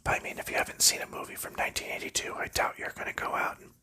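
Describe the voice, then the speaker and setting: Bright whispering man, unhurried ASMR chat. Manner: rambling, unhurried whispered chat with soft laughs. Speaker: ASMR creator. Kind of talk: ASMR whispering while sorting board game pieces.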